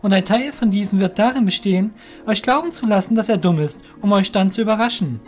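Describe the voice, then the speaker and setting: A man's voice: high-pitched, fast talker, over music, voice pushed up, lightly acted. A man voicing an earnest, respectful, somewhat hesitant young shadow guild apprentice in a fantasy audio drama. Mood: respectful, insistent.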